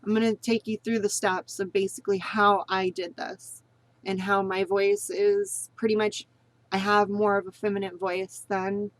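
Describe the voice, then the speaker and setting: A woman's voice: mid-range voice, little pitch movement, normal speed, dry recording. Chatty woman teaching voice training. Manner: rambling, repeats herself with many fillers; earnest and encouraging. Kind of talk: casual spoken tutorial.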